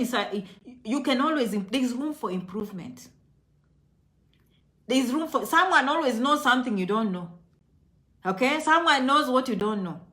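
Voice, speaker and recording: Kenyan lady vlogging, high sharp voice, really emphatic, says stuff twice to drive it home. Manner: emphatic, repeats phrases for stress. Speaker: online video host. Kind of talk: casual motivational vlog talk to viewers.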